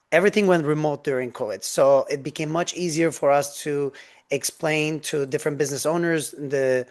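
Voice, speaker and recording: A man, a guest on a business podcast, telling his story in an earnest, eager way over a video call. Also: medium pitch. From Turkey. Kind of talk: interview storytelling.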